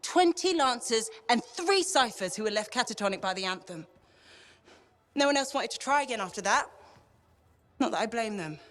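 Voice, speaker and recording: A woman's voice: on the high side, animated, moderate pace, long pauses, a bit bright. An actress playing a haunted, wistful woman speaking earnestly, in a game cutscene. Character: haunted woman who once heard a mystic song, wistful. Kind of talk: video game cutscene acting.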